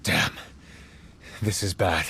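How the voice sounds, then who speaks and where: A man's voice: low-pitched, steady tone, takes it slowly, gravelly, pushed and bright, slight background noise, heavily acted. An actor playing an earnest, troubled male intelligence agent in a video game. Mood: worried, earnest.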